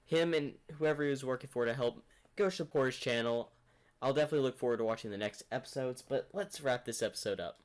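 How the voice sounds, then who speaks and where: A man's voice: mid-range voice, quick pace, noisy background. A man hosting a reaction video, musing enthusiastically in casual commentary.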